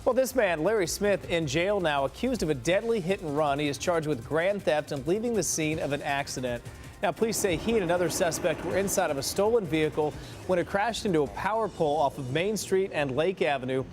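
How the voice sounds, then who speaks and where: A man's voice: on the high side, lively intonation, brisk, music in the background. An American man reading the news as a morning television anchor.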